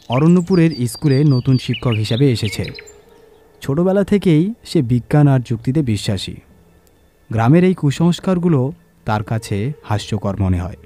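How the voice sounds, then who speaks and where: A man's voice: mid-range voice, mellow. A man reading a scripted horror story as narrator, in a dramatic storytelling style.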